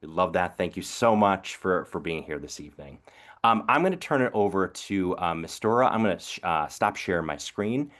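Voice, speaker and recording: Deep-voiced male moderator, video call, thoughtful, halting delivery full of "um"s. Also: expressive, fairly bright tone. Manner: reflective, hesitant with many fillers and restarts. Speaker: moderator of a webinar panel. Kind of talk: online panel moderation.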